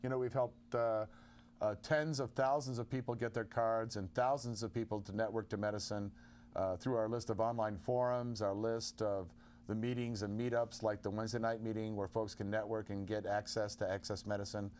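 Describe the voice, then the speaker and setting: A man co-hosting a call-in show, explaining in a measured way with many uhs. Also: fairly low pitch, steady tone, a little mellow, slight background noise. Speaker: co-host. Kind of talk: casual call-in chat.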